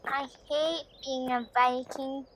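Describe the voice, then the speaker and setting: A woman's voice: sits high, steady tone, slow, a bit bright, slight background noise, rough recording. A woman voicing a gloomy, sarcastic young Viking boy who complains bitterly in an audiobook drama. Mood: miserable, panting. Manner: bitter, weary, miserable complaining. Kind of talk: audiobook drama character acting.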